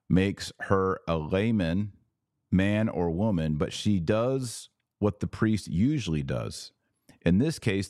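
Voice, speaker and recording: A man's voice: low-pitched, darkish tone, dry recording, clean studio sound. A man hosting a podcast, giving opinionated commentary with emphatic, repeated phrases. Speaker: podcast host.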